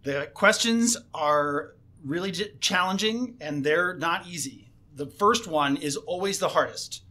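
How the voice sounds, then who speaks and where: A man's voice: on the high side, sharp tone. A man hosting a school radio interview show, talking casually with plenty of fillers and restarts. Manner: halting, many fillers and restarts. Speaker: host, assistant principal.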